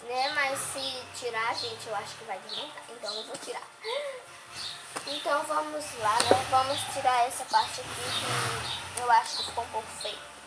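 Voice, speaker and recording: A young girl with a high voice chats her way through a homemade craft tutorial, talking to her viewers as she cuts and draws. The recording is noisy, with chickens and a rooster clucking and crowing in the background. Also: moderate pace. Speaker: crafts vlogger.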